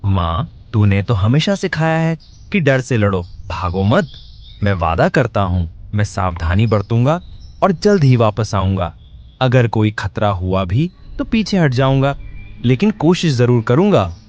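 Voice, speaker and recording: A man's voice: medium pitch, sing-song, a little slow, a little mellow. A man playing a brave young village son in a children's story, speaking earnestly and reassuringly, with slightly dull sound. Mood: earnest, resolute. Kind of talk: acted dialogue in a children's moral story.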